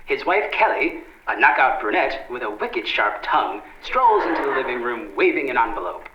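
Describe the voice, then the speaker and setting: A man's voice: medium pitch, very animated, normal speed, highs cut off, background noise, close to an everyday voice. A man playing a dramatic old-time radio announcer narrating a story, in echoey vintage sound. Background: loud music.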